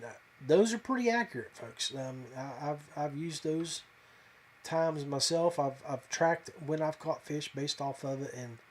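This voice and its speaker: A man's voice: medium pitch, slight background noise. A man hosting a live podcast, talking casually and folksily to viewers in a hesitant, rambling way. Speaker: podcast host.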